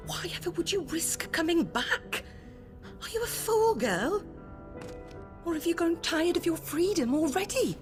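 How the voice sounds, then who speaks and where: Kindly scolding fantasy noble lady, hushed and worried, pitch moves around a lot. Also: big pitch swings, a little slow, music in the background, exaggerated voice. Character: anxious, caring noblewoman, scolding yet kind. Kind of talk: dramatic fantasy game acting.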